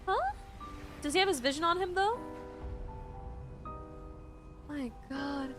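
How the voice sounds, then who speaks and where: A woman's voice: very high-pitched, sing-song, brisk, over music. A woman game streamer giving casual, exclamatory live reaction commentary, swearing freely.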